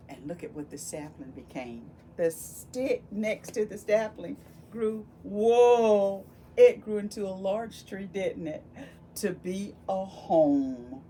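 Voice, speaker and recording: A woman reading a picture book aloud to young children, expressive and full of wonder, pausing to ask them questions. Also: mid-range voice, slight background noise. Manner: animated, exclaiming with gasps and wonder, addressing young children. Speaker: volunteer children's read-aloud storyteller.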